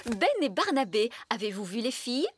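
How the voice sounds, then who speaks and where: A woman playing a fussy, imperious fairy nanny in a French cartoon dub, brisk and bossy with theatrical exclamations. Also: a little high, very animated, noisy background.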